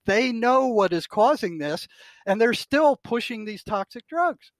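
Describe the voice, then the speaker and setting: An older man with a noticeably high voice speaks in an opinionated discussion. He is blunt, emphatic and indignant, sometimes swearing mildly. The recording is close and dry. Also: expressive, a little slow, dry recording. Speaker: retired psychotherapist, podcast guest. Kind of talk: opinionated discussion, quoting articles aloud.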